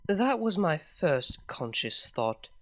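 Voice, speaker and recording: A reader telling a fan story aloud in the first person, in a formal, measured way. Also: mid-range voice, very animated, fairly bright tone, faint music behind.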